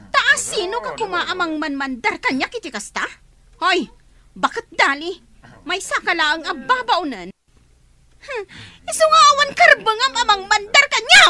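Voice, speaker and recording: A woman playing a defiant, sharp-tongued teenage girl in a radio drama, with a shrill voice that talks back. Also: very animated, moderate pace, heavily acted.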